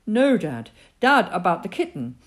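A woman's voice: mid-range voice, big pitch swings, a little slow, pitched above the performer's own voice. A woman voicing an earnest fourteen-year-old farm boy, eager and pleading, in a storybook reading.

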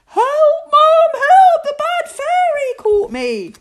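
A woman's voice: extremely high pitch, flat delivery, normal speed, a little mellow, a little hiss or hum, pitched above the performer's own voice. A woman voicing an imaginative, easily frightened little boy playing knight in a storybook, with exclamatory, dramatic delivery. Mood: panicked wailing. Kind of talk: storybook character voice.

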